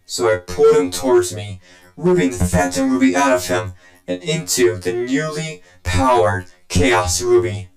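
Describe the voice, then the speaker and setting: An actor voicing a scheming, vengeful game villain who tells his story in a deliberate, condescending, smug way. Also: medium pitch, very animated, roomy sound, faint music behind. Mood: brooding, scornful. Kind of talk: dramatic video game cutscene monologue.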